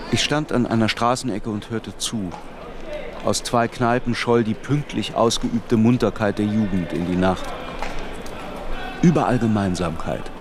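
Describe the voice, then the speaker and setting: A contemplative man looking back on his student youth , played by an actor narrates a radio play. His voice is fairly low and measured, with a literary turn. There is clear ambient noise behind it. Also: close to an everyday voice.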